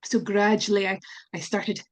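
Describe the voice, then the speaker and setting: A woman's voice: mid-range voice, flat delivery, moderate pace. A Scottish woman answering interview questions as a pronunciation specialist, candid and earnest, laughing at herself now and then, on an online call. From Scotland.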